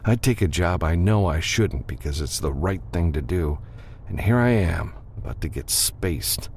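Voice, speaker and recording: A man voicing a gruff, steady spaceship pilot with a terse, wry manner in an audiobook. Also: deep voice, normal speed, a little hiss or hum. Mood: wry, grumbling. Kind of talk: audiobook character dialogue.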